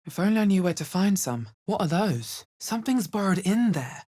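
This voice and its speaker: A woman playing a guarded adventurer and spellcaster in a fantasy video game, with a husky, bass-heavy voice and a measured, wary, dry delivery. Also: big pitch swings, mellow. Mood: wary, observant. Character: guarded, low-voiced adventurer woman, player hero who casts spells.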